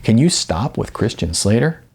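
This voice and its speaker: A man's voice: lowish voice, very animated, darkish tone, husky, full character voice. A man voicing a naive, eager young aspiring actor in an audiobook, speaking hesitantly. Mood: amused, teasing. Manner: hesitant, with stammers and pauses. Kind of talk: audiobook character dialogue.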